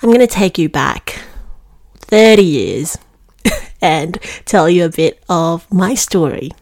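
A woman's voice: lowish voice, lively intonation, slow pace, a little mellow. A woman in her thirties from Singapore, hosting her own podcast as a teacher wellbeing coach in an earnest, reflective monologue on a close mic.

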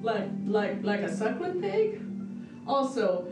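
A woman's voice: a little high, big pitch swings, slow. A woman playing a tabletop game, talking in casual group chat. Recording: distant, echoey, with music.

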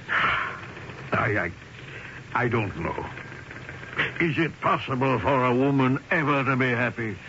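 An actor playing an anxious, controlling middle-aged father in a radio drama, with halting, insistent, formal phrasing. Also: mid-range voice, animated. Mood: distressed, defensive. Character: anxious, controlling immigrant father, proud and protective. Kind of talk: old-time radio drama acting. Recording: dull, noisy old radio recording.

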